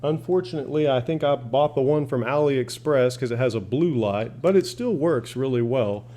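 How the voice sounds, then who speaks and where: Mellow-voiced man, casual and folksy. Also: mid-range voice, normal speed. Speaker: shortwave radio hobbyist asking viewers for advice. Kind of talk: casual unscripted demonstration and chat.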